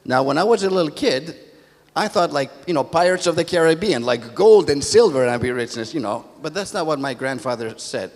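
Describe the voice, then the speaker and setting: A man's voice: on the high side, expressive, brisk. Older man giving a talk in an echoey hall. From born in Athens, Greece, moved to America as a child. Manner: conversational storytelling in short phrases. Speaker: conference speaker, positive psychologist. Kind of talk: motivational talk with personal storytelling. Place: theatre stage. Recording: echoey hall, long reverb.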